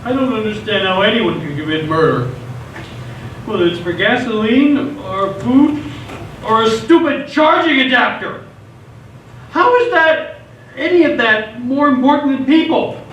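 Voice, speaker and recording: A man's voice: very high voice, lively intonation, a little slow, a bit bright, exaggerated voice. A man acting an anguished, bewildered teenage boy vlogger in a live radio theatre play. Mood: anguished, guilty. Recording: echoey theatre stage, distant mic, faint noise.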